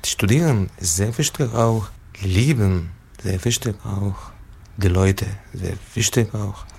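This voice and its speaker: A man in his thirties from Syria, answering interview questions in hesitant, halting German. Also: lowish voice, vocal fry, darkish tone, husky. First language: Arabic. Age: 30s. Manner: slow, hesitant, searching for words. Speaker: interviewee, musician.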